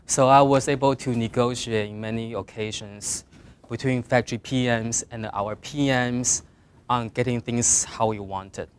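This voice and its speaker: A middle-aged man with a Mandarin Chinese accent, speaking English as a second language, giving earnest career advice to design students, rising on key points and trailing off quietly at the ends of phrases. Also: medium pitch, fairly even intonation, a little slow, slight background noise. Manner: earnest, plain advice with emphatic rises and trailing quiet endings. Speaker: guest speaker.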